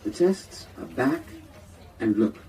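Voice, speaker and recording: A man playing an audiobook narrator reading a brooding crime novel in a measured, ominous, literary style within an audio drama. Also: high-pitched, wildly swooping pitch, creaky, full character voice. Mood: grave, suspenseful. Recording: distant playback over loud vehicle noise.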